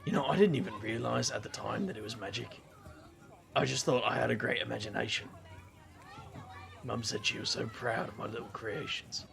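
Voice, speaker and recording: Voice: medium pitch, normal speed, vocal fry, a bit bright, some chatter behind. An actor playing a wry, affectionate adventuring spellcaster in an audio drama, with a playful, rambling way of talking. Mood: reflective.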